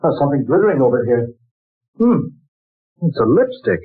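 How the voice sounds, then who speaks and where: A brisk, curious young man on old radio. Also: mid-range voice, sing-song, husky. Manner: brisk, inquisitive. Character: curious young man, her steady boyfriend. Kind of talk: 1940s radio acting. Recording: tinny old radio broadcast with music.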